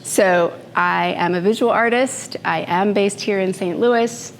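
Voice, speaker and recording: A woman in her fifties from the Philippines, giving a personal talk to a live audience in an unhurried, conversational way with fillers and asides. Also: mid-range voice, slight background noise. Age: 50s. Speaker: speaker at a morning talk. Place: event room before an audience. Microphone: handheld microphone.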